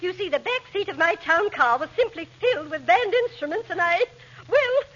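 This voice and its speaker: An actress playing a silly, affected high-society lady, coy and fluttery, in 1940s radio comedy style. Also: lively intonation, moderate pace, a bit bright. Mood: coy, embarrassed. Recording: very noisy, muffled vintage radio recording.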